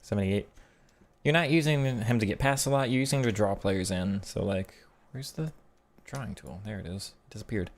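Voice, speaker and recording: Low-voiced man chatting on a stream. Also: moderate pace. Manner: chatty, thinks aloud with frequent restarts. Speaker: streamer and game coach. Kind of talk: casual live-stream commentary reading chat.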